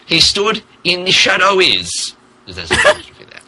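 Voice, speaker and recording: A man's voice: high voice, huge pitch swings, normal speed, heavy background noise. A man reading fan fiction aloud in a mock-dramatic style, poking fun at the mistakes in the text as he goes. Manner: stumbles over and mocks the text's typos. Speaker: podcast host reading aloud.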